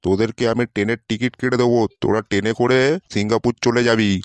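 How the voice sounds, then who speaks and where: A man's voice: medium pitch, fairly even intonation, fast, dry recording, natural voice. A man voicing a blustering, easily exasperated male quiz teacher in a comedy cartoon, scolding and exclaiming. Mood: announcing.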